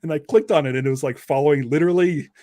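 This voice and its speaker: A man's voice: fairly high pitch, racing, dark tone, no room sound. Podcast host, man with a dry, close sound. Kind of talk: casual podcast chat.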